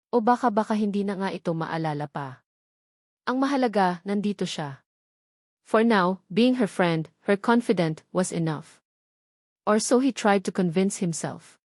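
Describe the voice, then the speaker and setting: A woman's voice: mid-range voice, a little mellow, no room sound. Filipino woman narrating romance, close dry sound. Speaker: audiobook narrator. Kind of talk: scripted dramatic romance narration.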